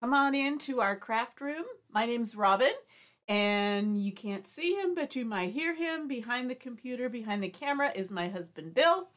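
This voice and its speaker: Middle-aged woman, stuffy nose, chatty live craft host, fairly high voice, home craft room. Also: expressive, normal speed, a bit bright. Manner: chatty, friendly, rambling with restarts. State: stuffy nose, sniffling from allergies. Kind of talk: live-stream craft demo chat.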